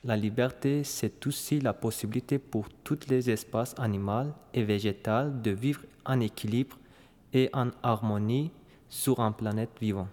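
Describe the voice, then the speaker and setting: A man's voice: mid-range voice, normal speed, darkish tone. A man from Turkey with a Turkish accent, delivering short scripted statements. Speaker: exhibition participant. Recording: long reverb, faint music.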